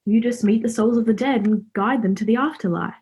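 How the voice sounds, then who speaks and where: A woman's voice: fairly high pitch, brisk, a little mellow, slightly rough. A woman acting a chatty, cheeky, friendly character in a radio play, speaking informally and forthrightly. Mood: earnest, forthright. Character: Laura, chatty, cheeky, friendly young woman befriending Death.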